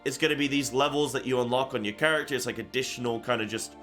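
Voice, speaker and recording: A man's voice: mid-range voice, steady tone, fast, fairly bright tone. A man giving casual, off-the-cuff gaming commentary as an online host, rambling and often repeating himself. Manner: rambling and repetitive, talking off the cuff. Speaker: gaming content creator and host. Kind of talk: casual reaction commentary on a game announcement. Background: background music.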